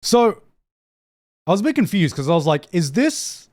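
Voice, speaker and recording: A man's voice: high voice, sing-song, moderate pace, darkish tone. A young man casually reacting to an anime trailer on a live stream, swearing freely, laughing often and repeating himself as he thinks aloud. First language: not Japanese.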